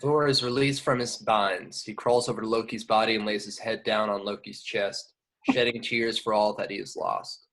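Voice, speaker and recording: A man's voice: lowish voice, fairly even intonation, normal speed, a bit bright, rough recording. A man narrating scripted action descriptions at a table read. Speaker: stage direction narrator.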